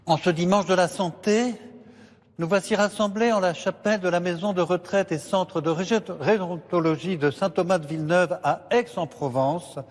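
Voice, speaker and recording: Celebrant priest; high, solemn, measured delivery in a reverberant chapel. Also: male voice. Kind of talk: liturgical address.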